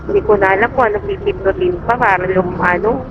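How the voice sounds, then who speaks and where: A woman's voice: medium pitch, fast. A woman chatting casually over a helmet intercom while out on a motorcycle ride. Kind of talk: casual chat. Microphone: helmet intercom headset. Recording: muffled intercom audio, loud vehicle noise.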